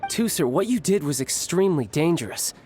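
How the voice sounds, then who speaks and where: A man's voice: fairly high pitch, lively intonation, a little fast, darkish tone, breathy edge. An actor playing a cocky, playful young male warrior who dotes on his little brother, in a video game. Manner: playful, teasing, courteous. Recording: game audio with faint music.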